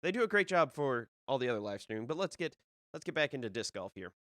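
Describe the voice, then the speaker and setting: A man's voice: mid-range voice, very animated, quick pace, a bit bright, no room sound, studio quality. Slightly nasal man hosting a show. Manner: rambling, with many fillers and restarts. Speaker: disc golf podcast host. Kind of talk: casual solo live-stream talk.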